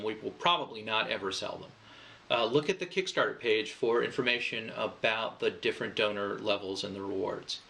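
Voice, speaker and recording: A man giving an informal crowdfunding pitch, explaining things in a loose, conversational way. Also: pushed and bright. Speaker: project creator pitching a fundraiser.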